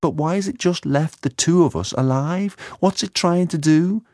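A man's voice: a little high, moderate pace, mellow, dry recording, voice pushed up, natural voice. A man puts on a young woman in an audio story. She is forthright and brave with a brittle sadness, speaking in a dry, close recording. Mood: sad, brittle.

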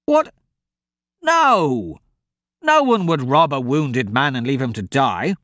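A man's voice: medium pitch, big pitch swings, slow, a bit bright, dry recording, clean studio sound. A man voicing a good-natured young male swordsman who talks in an upbeat, reasoning way. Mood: easygoing upbeat.

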